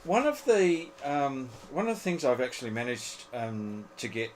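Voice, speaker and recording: A man's voice: medium pitch, moderate pace, slight background noise, low-quality recording. A man hosting an informal live technical show-and-tell for hobbyists, often repeating his words and phrases. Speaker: host of an amateur radio club presentation.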